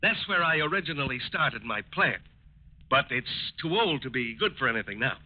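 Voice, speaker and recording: An actor playing an evasive, outwardly obliging war plant owner in a 1940s radio drama, polite and hedging. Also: male voice, mid-range voice, big pitch swings, fairly quick. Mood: evasive, firm. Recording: tinny, noisy old radio recording.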